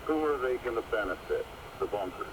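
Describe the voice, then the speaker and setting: Medium-pitched male radio guest, rambling, heard off a static-heavy shortwave broadcast. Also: lively intonation, fairly quick. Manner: rambling, full of "you know". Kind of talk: casual talk-radio discussion.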